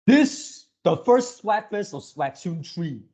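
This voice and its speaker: A man hosting a reaction video with casual, off-the-cuff commentary, speaking haltingly and repeating his words and phrases. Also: high-pitched, very animated, a little mellow.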